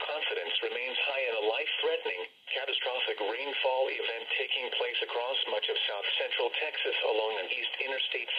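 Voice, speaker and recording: A synthetic male voice reading a formal, measured, impersonal scripted weather bulletin as an announcer over the radio. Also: mid-range voice, husky, highs cut off. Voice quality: synthetic text-to-speech voice. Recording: noisy weather radio broadcast.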